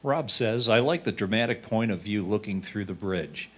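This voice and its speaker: A man with a slightly low-pitched voice reads written critiques aloud. He serves as a reader at a photography club competition, relaying a judge's comments. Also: animated, moderate pace, fairly bright tone, breathy edge.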